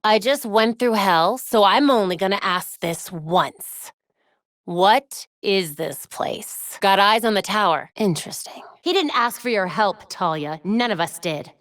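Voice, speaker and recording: An actress playing a young, determined vigilante superheroine in a video game, with an American accent, caring and dryly witty in an earnest, conversational way. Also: female voice, medium pitch, lively intonation, a little slow, a bit bright, no room sound, clean studio sound. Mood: firm, determined. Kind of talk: scripted game voice lines.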